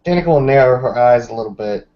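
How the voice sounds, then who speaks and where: A man with a medium-pitched voice talks casually as a roleplaying game player. He narrates a character's actions. The recording is dull and lo-fi. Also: expressive, fast.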